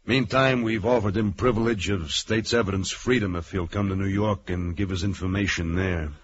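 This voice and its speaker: An actor playing an unhurried, unbending police detective in a radio thriller, dry and matter-of-fact. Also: male voice, low-pitched, steady tone, close to an everyday voice. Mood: dry, firm. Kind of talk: old radio thriller acting. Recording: dull, faintly noisy radio recording.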